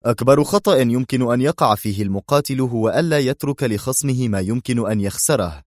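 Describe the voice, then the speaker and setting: An actor playing a hot-tempered crime boss in an audio drama, speaking sharply and commandingly. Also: male voice, fairly low pitch, expressive, fairly quick, darkish tone, no room sound, clean studio sound, close to an everyday voice. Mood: excited.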